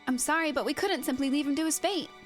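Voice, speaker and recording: A woman acts out an earnest, worried companion from a video game. The voice is high and explanatory, with quiet music in the background. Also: fast, lightly acted. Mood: distressed. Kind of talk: amateur voice acting of game dialogue.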